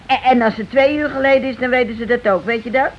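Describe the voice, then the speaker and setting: An actress playing a meek, anxious working-class housewife in a Dutch radio play, speaking plainly and hesitantly. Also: female voice, a little high, fast, close to an everyday voice. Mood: frightened. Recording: dull, noisy old radio recording.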